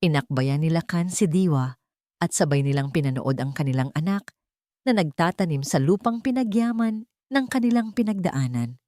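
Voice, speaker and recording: Expressive, low-voiced woman storyteller. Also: normal speed, dry recording, clean studio sound. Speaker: narrator and author of the story. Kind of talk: scripted audio drama storytelling in Tagalog.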